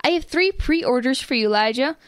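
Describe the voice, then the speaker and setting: A woman's voice: high-pitched, lively intonation, a little fast, clean tone, no echo, clean studio sound. A woman acting as a blunt, confident, enterprising daughter in a family radio comedy. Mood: businesslike.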